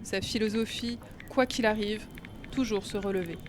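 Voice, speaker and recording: A woman's voice: mid-range voice, moderate pace, background noise. A woman reading a scripted podcast introduction as its narrator. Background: music.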